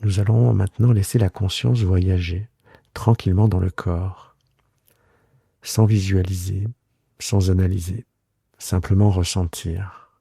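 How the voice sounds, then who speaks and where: A man guiding a yoga relaxation meditation in a soothing, unhurried way, leaving long pauses between short phrases. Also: deep voice, steady tone, vocal fry, mellow, slightly rough, no echo, clean studio sound. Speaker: yoga nidra guide and host. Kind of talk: guided relaxation meditation, improvised.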